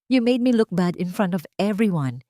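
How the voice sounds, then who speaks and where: A woman voicing a cruel, manipulative drunk husband in an audio drama, hoarse, with mock tenderness and English mixed in. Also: medium pitch, expressive, moderate pace, mellow, dry recording, studio quality, close to an everyday voice. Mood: condescending blame. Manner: mockingly tender, blaming, code-switching between English and Tagalog. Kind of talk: audio drama character acting.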